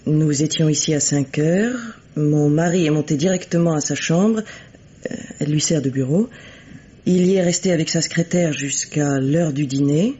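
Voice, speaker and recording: An actress playing a composed, coolly bitter middle-aged widow in a French radio mystery play, speaking formally and deliberately. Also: female voice, deep voice, warm tone, husky. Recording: dull, lo-fi vintage radio recording.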